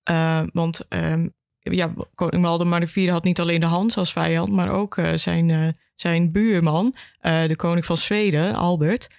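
Even-toned woman telling history informally. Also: lowish voice, a little slow, creaky, no room sound, clean studio sound. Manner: informal, retells history with imagined quotes. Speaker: education worker, interview guest. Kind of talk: conversational historical explanation.

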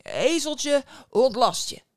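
A woman's voice: on the low side, fairly quick, dry recording, studio quality, close to an everyday voice. A woman voicing a sly, greedy male innkeeper in a storybook, with a scheming, muttering manner. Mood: curious.

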